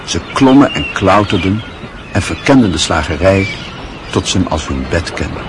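A man narrating a radio play in a measured, even storytelling manner. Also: low-pitched, noisy background.

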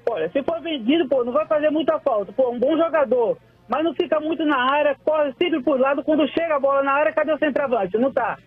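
A man's voice: very high voice, quick pace, hardly any pauses, no room sound, faint music behind. Brazilian man on a tinny phone line. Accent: Carioca-tinged Brazilian Portuguese. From Salvador, Brazil. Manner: relaxed, keeps asking "entendeu?". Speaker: caller. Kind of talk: casual phone-in chat.

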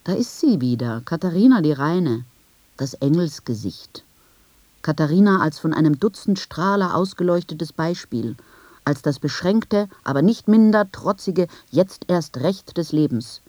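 A woman reads scripted, first-person literary narration in a measured, reflective manner with deliberate phrasing. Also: low voice, lively intonation, dark tone, no room sound. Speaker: narrator of a literary radio piece.

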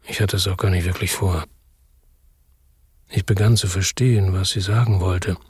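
World-weary crime narrator, low pitch, very raspy, introspective, grave, radio drama. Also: male voice, steady tone, moderate pace, darkish tone, no echo, clean studio sound. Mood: brooding. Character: brooding, world-weary male narrator-hero of a crime story.

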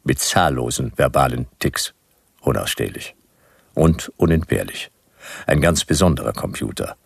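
An actor playing a grumpy, world-weary private detective, narrating a radio play in deadpan, laconic, sardonic phrases. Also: male voice, a bass voice, normal speed, gravelly, husky, dry recording, clean studio sound, exaggerated voice. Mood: dry, sardonic.

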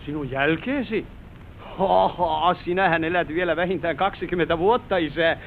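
High-voiced, eager young heir, tinny recording. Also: male voice, creaky. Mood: hesitant, earnest. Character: eager, high-spirited young son of a manor family. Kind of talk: old radio drama acting. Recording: noisy, tinny old radio drama recording.

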